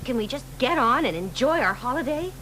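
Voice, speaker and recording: Brief: an impatient mom, fairly high voice, noisy radio play. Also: female voice, fairly quick. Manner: impatient, pressing. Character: impatient mother on holiday. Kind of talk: radio drama acting. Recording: radio broadcast with steady background noise.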